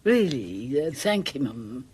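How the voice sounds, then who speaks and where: A village charwoman from a radio play, with a rural English accent. She speaks slowly and haltingly, rambling in a folksy, deferential way. She sounds like a superstitious, uneasy teller of local tales. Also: a bass voice, very animated, a little mellow, husky, full character voice. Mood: apologetic, hesitant. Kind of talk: radio drama acting.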